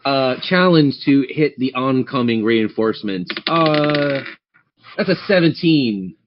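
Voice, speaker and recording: A man's voice: mid-range voice, expressive, takes it slowly, highs cut off, noisy background. A man running a tabletop game as game master, narrating scenes descriptively and speaking straight to his players. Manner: descriptive, addresses players directly.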